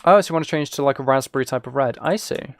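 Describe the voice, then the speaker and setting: Creaky-voiced British man, chatting casually. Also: medium pitch, fast, no room sound. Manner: informal, rambling, musing aloud. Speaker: game streamer chatting with viewers. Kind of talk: casual chat while playing a game.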